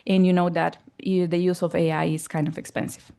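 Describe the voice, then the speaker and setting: A woman whose first language is Spanish, giving a startup pitch at an event, pausing often and searching for words. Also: flat delivery, creaky, dark tone, clean tone. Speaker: startup presenter. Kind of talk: pitch presentation. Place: event hall.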